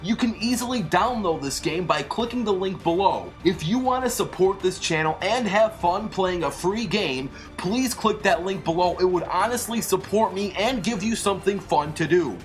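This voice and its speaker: An energetic online video host delivering scripted narration for an animated series. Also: medium pitch, moderate pace, hardly any pauses. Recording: music under the voice. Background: music.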